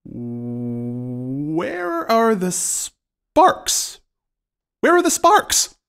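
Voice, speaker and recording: A woman's voice: low voice, huge pitch swings, very slow, fairly bright tone, clear, resonant tone, faint music behind, full character voice. A woman playing a scheming, cheeky male servant in an audio farce, with a bustling, bossy, mock-grand delivery. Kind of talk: theatrical period farce in an audio play.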